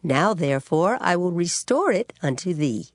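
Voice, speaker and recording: Grateful, pious mother in a Bible drama: solemn, formal, slightly low, dry studio sound. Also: big pitch swings, normal speed, no room sound. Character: devout, grateful mother in ancient Israel. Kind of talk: dramatized audio Bible acting.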